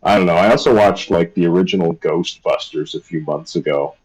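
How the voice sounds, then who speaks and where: A man's voice: low-pitched, fairly quick, a little mellow, breathy edge, rough recording. A young man chatting casually on a live stream, rambling and hesitant with lots of fillers. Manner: rambling, hesitant, self-deprecating. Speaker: streamer and artist.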